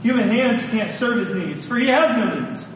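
Echoey, high-pitched man preaching. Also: lively intonation, moderate pace. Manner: emphatic, repeats phrases for stress; informal, colloquial asides to listeners. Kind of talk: sermon. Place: large room, speaking to a congregation. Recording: echoey room, distant mic, faint rumbling noise.

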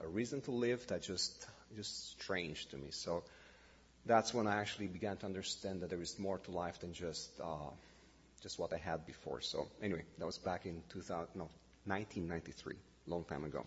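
A man's voice: deep voice, husky. Middle-aged man, Romanian accent, pastor, hesitant delivery, dull lo-fi sound in a big echoing hall. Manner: halting, many fillers and self-corrections. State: nervous. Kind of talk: informal sermon introduction. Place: church hall.

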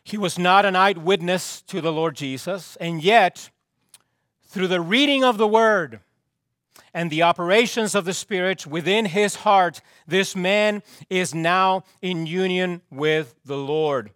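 A man preaching a sermon as pastor, his voice straining and hoarse, with slow, emphatic delivery that repeats key phrases and drops low at the ends of lines. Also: a bit bright, no echo, studio quality. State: losing his voice, clearing throat.

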